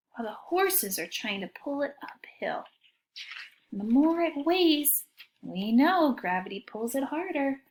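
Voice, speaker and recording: A woman teacher reading a picture book aloud to children in an expressive way, pausing to ask them questions. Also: sits high. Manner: expressive, child-directed, often asking questions.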